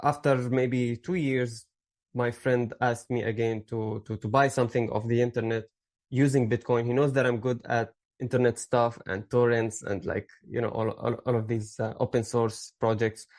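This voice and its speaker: A man from Syria in his thirties, with a mid-pitched voice, speaks as a podcast guest. He rambles through his story, stopping and restarting often and leaning on fillers. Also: steady tone, moderate pace, darkish tone. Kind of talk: casual interview storytelling.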